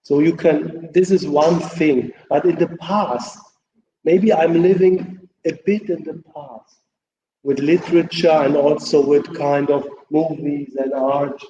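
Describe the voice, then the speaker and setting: A man's voice: fairly high pitch, fairly even intonation, slow, dark tone. A German man from East Berlin, a poet speaking English as a second language, talking frankly and drifting between thoughts. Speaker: poet on a festival panel. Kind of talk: unscripted panel talk in English. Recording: echoey hall, dull sound, faint hiss.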